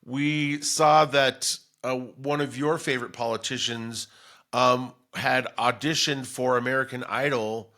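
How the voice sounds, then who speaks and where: Bright-voiced man, chatty podcast storyteller. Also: medium pitch, fairly even intonation, unhurried. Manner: rambling storytelling, acting out bits of dialogue. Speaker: podcast co-host. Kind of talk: casual comedic chat.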